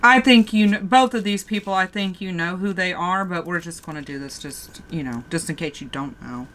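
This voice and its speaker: A woman's voice: fairly low pitch, normal speed, fairly bright tone, a little hiss or hum. A woman with a sore throat giving a casual tarot reading, talking straight to her viewers and often restarting her sentences. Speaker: tarot reader.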